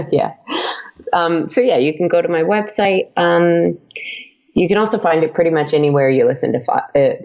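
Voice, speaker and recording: Gravelly-voiced young woman, chatty guest. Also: on the low side, moderate pace, darkish tone. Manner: chatty, laughs often, many fillers. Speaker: podcast guest, audio drama creator. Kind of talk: casual interview chat. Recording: dull lo-fi podcast audio.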